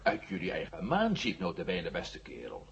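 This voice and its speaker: An actor playing a defiant armed space hero, mocking and menacing with false friendliness, in a vintage science-fiction radio drama. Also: male voice, low voice, wildly swooping pitch, moderate pace, fairly bright tone, heavily acted. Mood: sardonic, threatening. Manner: sardonic, menacing, mock-friendly. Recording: slightly noisy, dull old radio recording.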